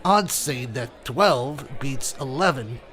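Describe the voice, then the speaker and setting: A man's voice: medium pitch, expressive, slow, a bit bright. A man playing a shady racetrack tout in a radio comedy, giving clipped, conspiratorial tips. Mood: sly, confidential. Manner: clipped, conspiratorial tipster patter. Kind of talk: radio comedy recreation acting.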